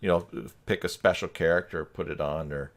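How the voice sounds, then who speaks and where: A man's voice: very deep voice, steady tone, fairly quick. A man hosting an informal live group video chat, talking in a casual, rambling way. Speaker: live stream host.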